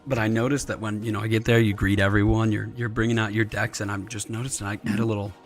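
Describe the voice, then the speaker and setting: A man's voice: lowish voice, level tone, fast talker. Scratchy-voiced male game streamer with music behind. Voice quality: slightly scratchy. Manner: hesitant, frequent throat clearing. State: recovering from voice loss, subdued. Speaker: streamer, host. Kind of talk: casual livestream chat with viewers and fellow players.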